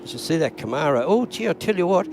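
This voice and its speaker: An older man, co-commentating drag races in a relaxed, knowledgeable way. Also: fairly high pitch, fast talker, gravelly, noisy background.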